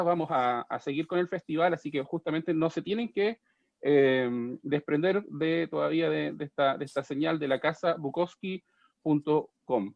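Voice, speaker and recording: A Chilean-accented man with a somewhat high voice moderates a panel, stumbling through fillers and repeated words. The sound is very dry and dull, like a lo-fi video call. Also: gravelly, a little mellow, dry recording. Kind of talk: hosting a panel.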